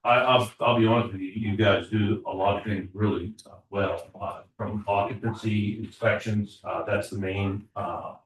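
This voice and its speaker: A low-voiced man reports to a small borough council, stumbling through fillers and false starts. He sits well away from the microphone. The room is echoey, with a faint hiss underneath. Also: steady tone. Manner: halting, many filler uhs and repeated starts. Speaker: code enforcement officer. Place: municipal council chamber. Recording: echoey chamber audio from far off.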